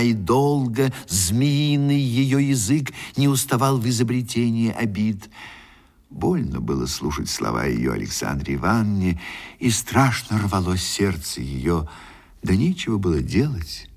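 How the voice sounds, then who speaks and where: A man giving dramatic literary narration for a radio play, expressive and theatrical. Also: lowish voice, breathy edge.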